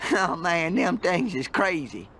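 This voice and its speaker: A simple, cheerful backwoods farmer with a rural Southern American drawl. The voice is high and sharp, full of folksy, rustic glee, in cartoon game style. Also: male voice, very animated, slow, a little hiss or hum, heavily acted. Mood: folksy, gleeful. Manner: folksy drawl, cackling, whooping. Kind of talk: animated comedy game voice acting.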